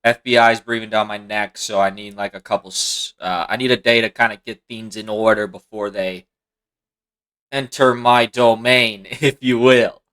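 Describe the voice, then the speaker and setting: Bright, forceful young-sounding streamer man. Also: fairly low pitch, little pitch movement, moderate pace. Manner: teasing and mock-indignant. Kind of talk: casual stream banter.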